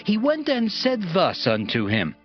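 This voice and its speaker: A man narrating dramatized scripture in a measured, formal storytelling style. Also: high-pitched, huge pitch swings. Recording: dull band-limited audio under prominent music. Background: music.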